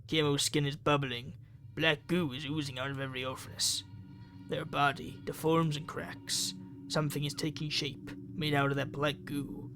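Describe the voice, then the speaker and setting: A man's voice: a little high, level tone, moderate pace, over music. A man hosting a gaming video, reading story text aloud dramatically with casual asides.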